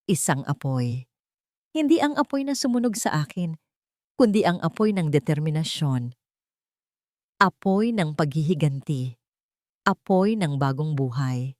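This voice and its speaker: Lady reading a dramatic audiobook, kinda low voice, really dry close sound. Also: lively intonation, unhurried, long pauses, a little mellow, no echo. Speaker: audiobook narrator. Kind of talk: dramatic first-person story narration.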